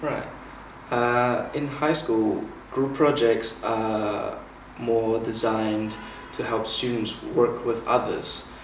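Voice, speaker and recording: A man in his twenties from China, hosting an informal Q&A and explaining things in a measured way with frequent "uh" pauses. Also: medium pitch, little pitch movement. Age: 20s. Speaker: interviewer and former international student. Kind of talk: informal Q&A, explaining and asking questions. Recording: echoey room, mic at a distance, faint hiss.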